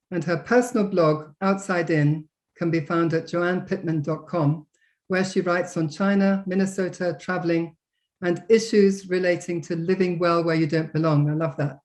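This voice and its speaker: A woman's voice: on the low side, fairly even intonation, moderate pace, a little mellow. A woman hosting an interview, welcoming viewers and introducing a guest with many hesitant fillers. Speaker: interview host.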